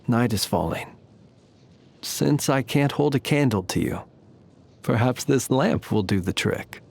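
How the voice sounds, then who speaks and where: A man voicing a calm, wry, ancient fae lantern keeper for a video game, speaking in formal, measured, theatrical phrases. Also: medium pitch, vocal fry, breathy edge, heavily acted. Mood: dignified. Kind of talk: scripted video game character voice lines.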